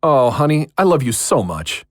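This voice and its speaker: Audio drama: actor playing caring male partner, affectionate, animated pitch movement, close dry recording. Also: normal speed, no room sound, natural voice. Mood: delighted. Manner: affectionate, expressive. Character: caring, devoted romantic partner.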